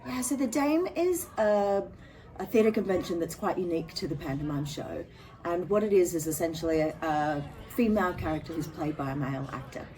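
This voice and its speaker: Low-voiced woman explaining, off mic. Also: fairly quick, a little mellow. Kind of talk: explaining to camera in an interview. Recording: distant mic with background noise.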